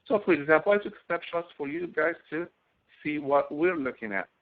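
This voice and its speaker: A man instructor giving an explanatory software tutorial as a screen-capture voice-over, halting phrase by phrase and repeating himself. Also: on the high side, animated. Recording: tinny, phone-like narrow-band voice recording.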